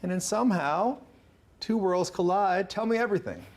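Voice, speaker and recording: A man's voice: high voice, moderate pace. A man hosting a TV interview in a studio, speaking informally with effusive, encouraging phrasing. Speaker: talk show host.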